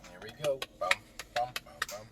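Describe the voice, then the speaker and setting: A man's voice: mid-range voice, animated, moderate pace, distant mic, a little hiss or hum. A man who is a plumber, giving a rambling, teasing voice-over for a do-it-yourself repair video and talking straight to the viewer. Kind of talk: joking DIY voice-over. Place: small bathroom.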